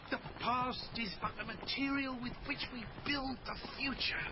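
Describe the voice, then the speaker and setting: A man's voice: very high voice, fairly even intonation, normal speed, highs cut off, heavy background noise. An actor playing a flamboyant middle-aged father, a travelling showman who declaims in florid, pompous speeches for a radio drama. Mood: rousing, grand.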